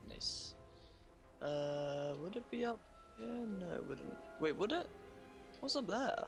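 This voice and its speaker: A man's voice: high-pitched, very animated, slow, creaky. A man hosting casual gameplay commentary, rambling along as he talks to himself. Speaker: gaming video host. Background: game music.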